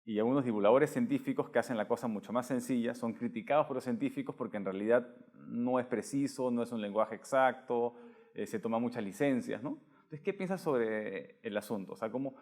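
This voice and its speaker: A man's voice: mid-range voice, darkish tone. A man hosting an interview, framing long conversational questions. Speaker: host, interviewer.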